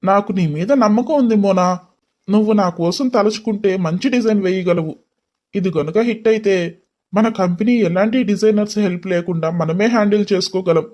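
A man's voice: high-pitched, moderate pace, a little mellow, lightly acted. Man acting an impatient, scolding young businessman. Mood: urgent, pressing. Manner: scolding, mixes English words into speech. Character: irritated, taunting young businessman, impatient fiancé. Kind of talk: dramatised story dialogue.

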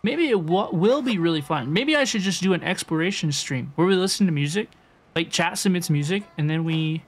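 A young woman game streamer chatting casually with viewers as she plays, often repeating her phrases. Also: medium pitch. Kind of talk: casual live-stream chat and gameplay commentary. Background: music.

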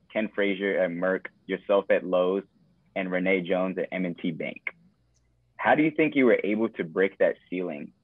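Deep young man on a tinny call. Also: no room sound. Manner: polite, reads questions. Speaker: interviewer. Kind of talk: video call interview. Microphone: video call. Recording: dry tinny phone-like line.

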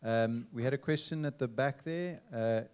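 A man's voice: medium pitch, darkish tone, clear, resonant tone. Middle-aged South African man as stage moderator, casual and joking. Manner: chatty, quick to joke. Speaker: event host. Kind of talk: moderating a panel. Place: stage in event venue. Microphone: handheld mic.

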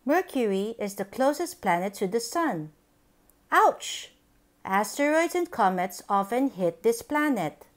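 A woman reading a picture book aloud for young children, with simple, deliberate, expressive phrasing. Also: a little high. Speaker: children's book reader, channel host.

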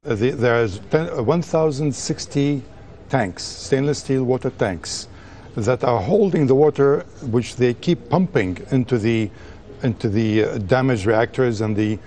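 A man's voice: mid-range voice, measured pace, darkish tone, slight background noise. A man in his sixties answering as an expert in a TV news interview, searching for words. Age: 60s. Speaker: expert guest. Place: TV studio.

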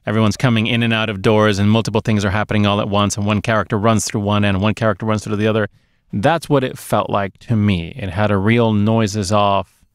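A man's voice: fairly low pitch, level tone, brisk, clear, resonant tone, no room sound, studio quality. A middle-aged man co-hosting a movie podcast, casually discussing a film. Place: small dark studio space, indoors. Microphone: close podcast microphone on boom arm.

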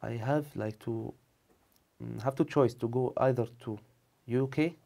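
A man in his twenties with an Arabic accent, answering interview questions in halting, repetitive non-native English. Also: mid-range voice, vocal fry, dark tone. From Saudi Arabia, of Tunisian family. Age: 20s. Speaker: interview guest, medical student. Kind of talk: conversational TV interview. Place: dark TV studio, indoors. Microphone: lapel mic.

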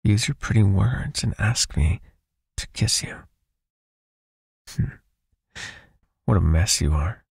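Male vampire captor, acted by a man for ASMR roleplay: very husky, unhurried, close dry sound, possessive yet soothing, coaxing his knight into obedience. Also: animated, no room sound, full character voice. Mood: teasing, tender. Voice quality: husky, breathy, low, often near a whisper. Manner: slow, coaxing, gently commanding. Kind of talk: intimate ASMR hypnosis roleplay.

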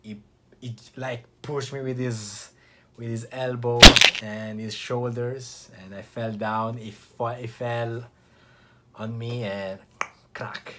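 Relaxed young man with an Italian accent. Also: mid-range voice, noisy background. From Italy. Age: young adult. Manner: relaxed, chatty, with frequent restarts and short pauses. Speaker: vlogger. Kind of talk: casual talk to camera.

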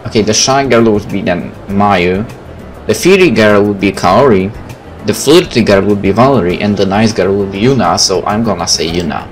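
A male gaming host, a native Polish speaker, with a fairly low voice. He reads game text and comments in a casual way. Soft music plays underneath. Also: moderate pace. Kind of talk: game let's play, reading text aloud with casual commentary. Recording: faint game music behind.

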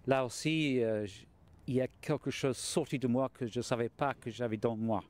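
A man gives interview answers at a medium pitch. He speaks haltingly, often stopping to search for words. He is outdoors with a mic held out to him. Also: steady tone, darkish tone, a little hiss or hum. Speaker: actor being interviewed. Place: outdoors in front of a large mansion. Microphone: small mic held out by interviewer.

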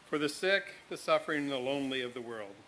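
Male reader in his sixties, bright projecting voice, formal prayer, faint background noise. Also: medium pitch, animated, fast, low-quality recording. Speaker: reader of intercessions. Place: large tent with congregation. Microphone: gooseneck lectern microphone.

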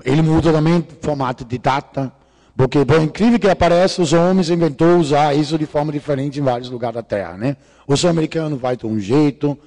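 A man's voice: medium pitch, dark tone. A middle-aged man from Denmark speaking Portuguese with a Danish accent, giving a jokey, irreverent conference talk with casual swearing and grammar slips. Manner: non-native grammar slips. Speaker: lecturer. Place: large lecture hall. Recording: reverberant hall.

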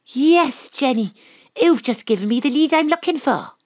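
A woman's voice: high voice, animated, normal speed, a little mellow, no room sound, studio quality. A woman playing a wry, self-mocking private detective in an audio drama, narrating theatrically. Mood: urgent, excited. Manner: theatrical, relishing alliteration.

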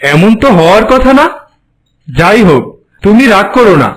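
A man's voice: high voice, very animated, moderate pace. A man voicing a proud, pleading butterfly who is a young king in disguise, in a cartoon. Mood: concerned, coaxing. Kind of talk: cartoon voice acting.

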